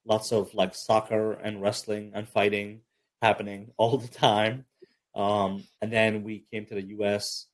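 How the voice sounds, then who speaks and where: A low-pitched man from Egypt talks about his life in a relaxed interview. He speaks casually with plenty of ums and a humble, chatty way. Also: measured pace. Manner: relaxed, chatty, self-deprecating with fillers. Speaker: army chaplain and counselor.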